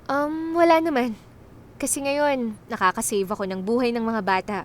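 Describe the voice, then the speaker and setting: A woman acting in a Filipino radio drama as a contented former teen star turned doctor, conversational and gently teasing. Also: a little high, fairly even intonation, moderate pace, a little hiss or hum, lightly acted. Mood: composed, sincere. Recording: faint music behind the voice.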